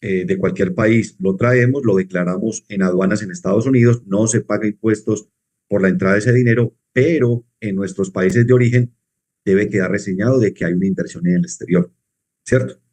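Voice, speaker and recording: A man working as a tax advisor, explaining rules in a measured way and answering his own questions. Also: lowish voice, a little mellow. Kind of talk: explanatory conversation.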